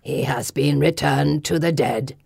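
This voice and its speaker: Woman as ancient stone gargoyle, storybook guardian: very low, gravelly, slow, solemn and commanding. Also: a little mellow, dry recording, clean studio sound, full character voice. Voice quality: gravelly, deep. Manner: slow, grave, formal pronouncements.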